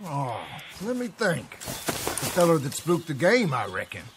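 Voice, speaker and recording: An actor playing a dry, sardonic, folksy American backwoods outlaw in a western video game. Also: male voice, on the high side, wildly swooping pitch, measured pace, fairly bright tone, a little hiss or hum, heavily acted. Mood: sarcastic. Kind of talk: video game cutscene acting.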